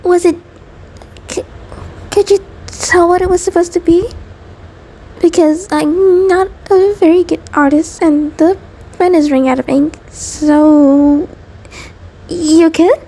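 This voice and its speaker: A woman's voice: very high-pitched, steady tone, takes it slowly, pauses often, dark tone, heavily acted, low-quality recording. A woman voicing an anxious, devoted centipede creature partner in an intimate audio roleplay, nervous and hesitant, stammering and rambling. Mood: shy, affectionate, nervous. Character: anxious, devoted female mutant centipede partner, sweet and neurotic.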